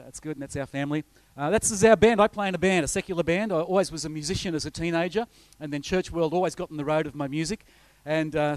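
A middle-aged Australian man speaking as a guest pastor, his voice sitting a little higher than most men's. He talks loosely and conversationally, full of uhs and false starts, and the sound is close and dry with almost no room echo. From north coast of New South Wales, Australia. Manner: chatty, rambling, frequent uh fillers and restarts. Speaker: visiting pastor. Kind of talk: informal sermon introduction with slides.

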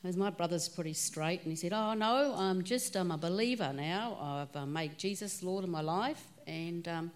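A woman with a low voice and a New Zealand accent tells her faith story in a plainspoken, conversational way. She speaks as an interview guest at a church. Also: moderate pace, hardly any pauses.

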